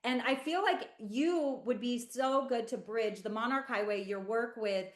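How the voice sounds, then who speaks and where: A woman's voice: a little high, unhurried, reverberant. A woman moderating a casual panel chat, upbeat and giggly as she leads the questions.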